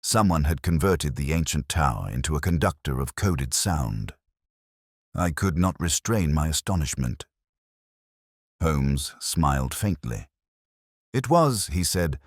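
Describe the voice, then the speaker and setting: Very deep-voiced man narrating a mystery story. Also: sing-song, a little slow, slightly rough, dry recording, studio quality. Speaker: audiobook narrator. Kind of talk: scripted first-person mystery story narration.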